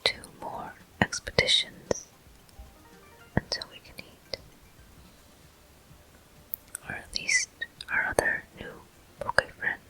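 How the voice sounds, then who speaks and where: Slow, bright ASMR whisper. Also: poor audio. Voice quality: breathy, unvoiced whisper. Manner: gentle, unhurried, short soft phrases. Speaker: host of a gaming ASMR video. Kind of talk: whispered ASMR gameplay commentary.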